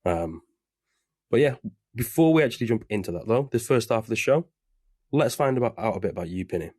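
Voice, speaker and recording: A man's voice: mid-range voice, expressive, a little fast, darkish tone, husky, no room sound, studio quality. A man hosting a casual podcast interview over a webcam call, chatty and loose with plenty of fillers. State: recovering from covid. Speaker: podcast host. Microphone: remote webcam call.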